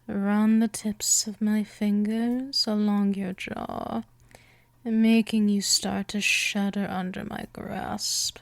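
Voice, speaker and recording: A woman voicing a gentle but dominant, seductive vampire in a scripted hypnosis roleplay, teasing and coaxing, often slipping toward a whisper. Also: mid-range voice, level tone, slow, creaky, dry recording. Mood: teasing, amused.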